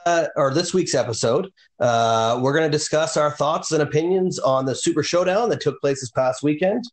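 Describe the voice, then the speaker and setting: A man's voice: a little high, normal speed, reverberant. A man co-hosting a casual wrestling podcast, rambling with lots of fillers and restarts. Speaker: podcast co-host. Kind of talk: casual chat.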